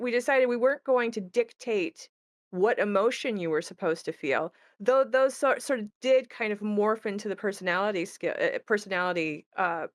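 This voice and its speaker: A woman's voice: mid-range voice, no echo, clean studio sound. A woman who creates games, talking casually and thinking aloud as she explains design, often rephrasing as she goes. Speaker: game creator in conversation. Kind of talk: casual discussion of game design.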